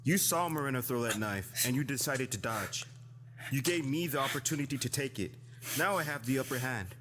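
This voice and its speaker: An actor playing a tough, exacting agent and trainer in an audio drama, talking bluntly. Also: male voice, medium pitch, animated, a little fast, natural voice. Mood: stern, commanding. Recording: hall reverb, clearly noisy background.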